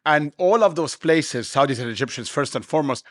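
A man's voice: fairly high pitch, animated, fairly quick. Middle-aged male podcast host. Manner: affirming, finishes the guest's sentences. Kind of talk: conversational interview hosting.

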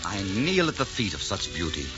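A dull 1940s radio recording with music behind. a dashing French barber posing as a duke, his low voice swooping with gallant, teasing charm. The delivery is theatrical and bold. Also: big pitch swings, normal speed. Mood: tender, romantic. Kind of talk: 1940s radio drama acting.

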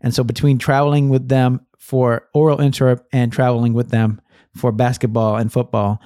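A middle-aged man from Massachusetts, a guest who founded an education nonprofit, telling his story in relaxed conversation with many fillers and restarts. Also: medium pitch, normal speed, no echo, studio quality. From Massachusetts, USA.

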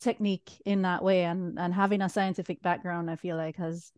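A woman from Jamaica with a Jamaican accent, chatting as a guest chef on a podcast, relaxed and quick to laugh. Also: fairly low pitch, smooth, no echo, studio quality. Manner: relaxed, laughs easily, restarts phrases. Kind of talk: casual podcast chat.

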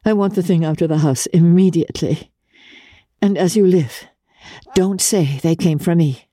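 An imperious aristocratic lady from a classic novel, played by a woman narrator, with a raised, husky voice. She is passionate and theatrical, giving commands and exclamations with grand flair. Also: on the low side, moderate pace, warm tone, dry recording, clean studio sound, pitched above the performer's own voice, close to an everyday voice. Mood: urgent, commanding.

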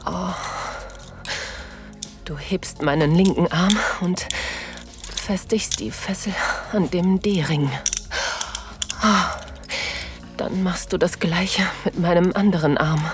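An actress playing a submissive, aroused woman, narrating intimately in a breathy, whispery voice in an erotic audio drama. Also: lowish voice. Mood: breathless, sensual. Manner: slow, sensual delivery. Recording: music behind the voice.

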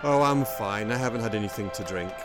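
A man's voice: on the low side, brisk, natural voice. An actor playing a lonely, stubborn old man in an old-style radio drama, with folksy, old-fashioned turns of phrase. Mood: gruff, reassuring. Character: lonely, stubborn, worried old man haunted by his past. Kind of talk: 1930s and 40s style radio drama acting. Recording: music playing over the voice.

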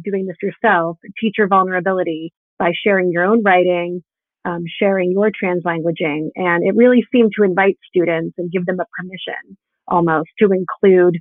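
Through a narrow, phone-like connection comes a woman interviewer with a mid-pitched voice. She frames questions and comments in a measured way, and the sound is dry. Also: no room sound. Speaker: interviewer, host. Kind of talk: measured discussion with prepared questions. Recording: thin phone-like remote call audio.

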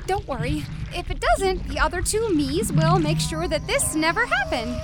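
A brisk female time traveler in an audio drama, high-voiced, blunt and commanding with a grim, wry streak. Loud rumbling noise surrounds the voice. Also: sing-song. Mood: firm, urgent.

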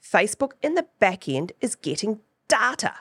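A woman's voice: medium pitch, sing-song, moderate pace, a bit bright, breathy edge, no echo, clean studio sound. Chatty New Zealand woman podcast host. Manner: chatty, keeps asking "right?". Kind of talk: solo podcast teaching.

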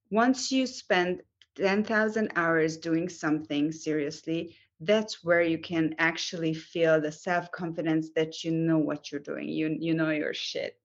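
A determined woman tells her acting story in earnest, often breaking off and restarting her sentences. Her voice sits a little low. The recording sounds dull and lo-fi. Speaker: actress, podcast guest. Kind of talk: interview storytelling. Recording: dull lo-fi audio.

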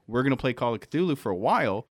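A man's voice: mid-range voice, sing-song, quick pace, no echo, studio quality. A man chatting casually on a panel as a game master, explaining things in an informal way. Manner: explanatory, peppers talk with "like". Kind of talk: casual panel chat about tabletop gaming.

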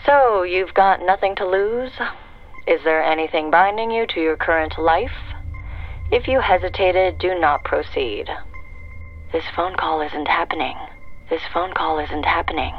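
A woman's voice: lowish voice, dull audio, soft background music. An actress playing an eerie, teasing woman who speaks as a secret agency's answering machine in an audio drama. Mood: weary, ominous. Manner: slow, taunting, breaks into singsong.

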